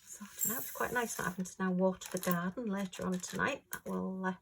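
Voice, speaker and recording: A woman chatting casually at home while she works on a craft, rambling and homely with lots of fillers, her voice a little hoarse. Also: medium pitch, fairly even intonation, brisk, slight background noise. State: slightly hoarse. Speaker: crafting vlogger.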